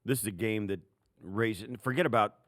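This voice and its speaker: A man's voice: lowish voice, brisk, a bit bright, dry recording, clean studio sound. A man co-hosting a sports podcast, giving conversational football commentary in a colloquial way with emphatic repetition. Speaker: sports podcast co-host, analyst.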